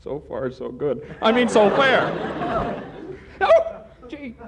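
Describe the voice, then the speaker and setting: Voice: medium pitch, wildly swooping pitch, slow, crowd noise, exaggerated voice. A performer playing a timid, shy young man who stammers bashfully, in 1940s radio comedy. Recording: hissy 1940s radio recording.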